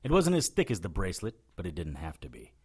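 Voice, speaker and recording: A man's voice: low-pitched, huge pitch swings, quick pace, slightly rough, exaggerated voice. A man playing a wry, hard-boiled hero, narrating a scripted audio drama in the first person with dry sarcasm. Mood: tense, sardonic. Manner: dry, sardonic. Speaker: narrator and lead character.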